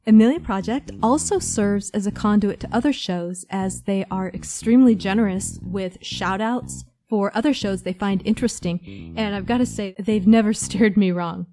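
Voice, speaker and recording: A woman's voice: mid-range voice, normal speed, warm tone. A woman reading a scripted review in an articulate, enthusiastic manner. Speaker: listener reviewing favourite audio dramas. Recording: guitar music behind. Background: faint plucked guitar music.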